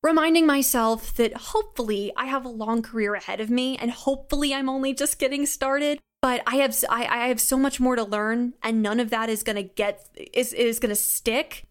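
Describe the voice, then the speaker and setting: A young woman in her late teens with a fairly high voice, speaking as a guest in a casual interview. She is chatty and candid, with a self-deprecating streak. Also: a little fast, few pauses, clear, resonant tone. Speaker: guest, audio drama writer and sound designer.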